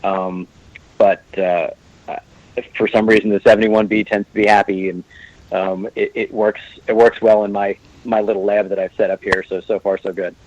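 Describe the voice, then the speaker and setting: A man's voice: fairly low pitch, little pitch movement, normal speed, a little mellow, lo-fi sound, slight background noise. A man who owns a meadery, chatting casually as a guest on a podcast, joking and rambling with many restarts.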